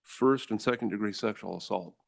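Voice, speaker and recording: An American man, a district attorney, giving formal, forceful testimony to a legislative committee and addressing the room as ladies and gentlemen. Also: lowish voice, a little fast, gravelly.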